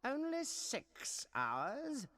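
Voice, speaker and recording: An actor playing an imperious, demanding villainous bishop in a fantasy game, cold and commanding. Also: male voice, very high-pitched, huge pitch swings, very slow, bright, forceful tone, exaggerated voice. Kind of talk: game voice acting. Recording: long hall reverb.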